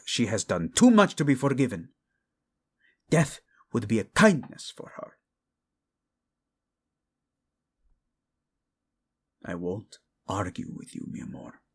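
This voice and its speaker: A man's voice: fairly low pitch, breathy edge, exaggerated voice. A man playing a proud, vengeful young king, fiercely protective of his wife, in a dramatic romance audio roleplay. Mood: stern, resolute. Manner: brooding, commanding, tender in endearments.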